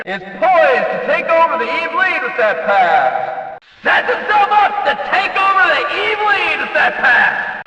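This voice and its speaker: A man playing an excitable, brash race announcer in a video game, calling the action with emphatic, hyped-up shouts. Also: very high voice, full character voice. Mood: excited, emphatic. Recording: echoey reverberant announcer sound, distant.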